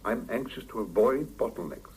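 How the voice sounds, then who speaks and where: An actor playing a stern middle-aged boss in a 1970s radio drama, clipped and authoritative. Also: male voice, mid-range voice, lively intonation, normal speed, darkish tone, slightly rough. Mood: concerned, pressing. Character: stern middle-aged male laboratory boss, brisk and exacting. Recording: muffled 1975 radio drama cassette recording.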